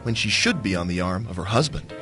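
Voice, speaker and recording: A man's voice: fairly low pitch, lively intonation, fast talker, pushed and bright, faint music behind. A man playing a flippant, teasing business partner in a radio drama, speaking in an arch, mocking, flowery manner. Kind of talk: theatrical radio drama acting.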